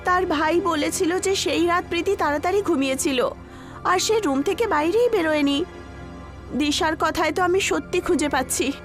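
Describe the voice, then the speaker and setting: A woman's voice: extremely high pitch, moderate pace, soft background music. A woman voicing an anxious young female college student in a horror cartoon, with an emotional delivery. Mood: troubled.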